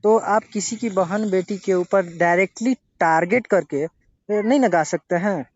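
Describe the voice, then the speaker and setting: A man arguing haltingly as he questions someone in a confrontational phone interview, often cutting in to press his point. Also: high voice. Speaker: interviewer questioning a singer over a phone call. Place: phone call recording. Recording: dull lo-fi recorded phone call.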